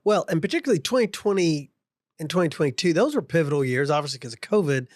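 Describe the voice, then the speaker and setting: A man's voice: on the high side, lively intonation, fast, dry recording, clean studio sound. A man hosting an interview podcast in a conversational style. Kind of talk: conversational interview with scripted show intro.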